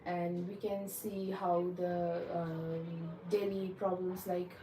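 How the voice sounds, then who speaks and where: Young woman, soft-spoken applicant type, hesitant and polite, slightly low voice, caught from across a room. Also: flat delivery, takes it slowly, roomy sound, far from the mic, slight background noise, rough recording. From Nepal. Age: young adult. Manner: hesitant, polite, many fillers. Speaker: visa applicant in a mock interview. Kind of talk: practice visa interview answers.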